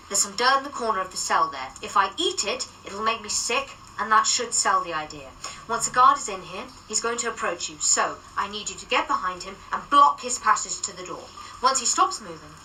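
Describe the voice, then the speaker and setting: Lively, blithe, eccentric agent. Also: female voice, medium pitch. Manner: blithe, analytical, deadpan. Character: eccentric, matter-of-fact female paranormal agent, blithely confident schemer. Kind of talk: radio drama acting. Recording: slightly distant, clear background noise and ambience.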